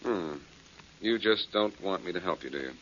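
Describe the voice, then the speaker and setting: A man's voice: low voice, expressive, moderate pace, fairly bright tone. A man playing a cocky, wisecracking detective with a glib, needling manner in a 1940s radio drama. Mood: breezy teasing. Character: cocky, wisecracking amateur detective, teasing and quietly menacing. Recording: muffled, noisy 1940s radio recording.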